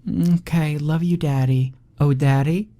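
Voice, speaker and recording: A creepy little girl with a sing-song, childish lilt that turns sweetly threatening, as a male narrator puts her on. The voice is pushed up in pitch, yet it keeps a soft, mellow tone from a slow-paced storyteller. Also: steady tone, natural voice. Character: eerie little girl, sweet but menacing.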